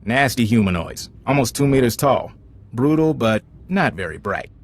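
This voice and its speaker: An actor playing a frank intelligence agent giving an informal, wry briefing in a video game. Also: male voice, mid-range voice, expressive, normal speed, natural voice. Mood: urgent, dry.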